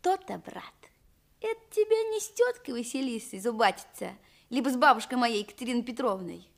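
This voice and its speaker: A woman's voice: a little high, big pitch swings, fairly quick, vocal fry. A woman playing a young village boy, touchy and proud, with rustic childlike speech in a radio play. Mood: chatty, thoughtful.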